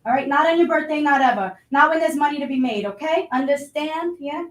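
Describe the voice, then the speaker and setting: A woman's voice: sits high, expressive, fairly quick. A woman playing a blustery, money-minded restaurant boss in a staged reading of a play. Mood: bossy, scolding. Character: pushy, money-minded restaurant boss, self-pitying and scheming. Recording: roomy, distant microphone.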